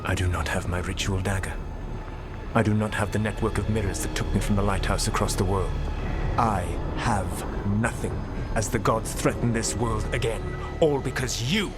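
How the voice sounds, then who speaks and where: A man playing a proud, bitter, ancient elven rebel mage in a video game, speaking in a measured, formal, sardonic way. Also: on the low side, lightly acted. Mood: bitter, reproachful. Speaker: video game character actor. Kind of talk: dramatic video game voice acting. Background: game music.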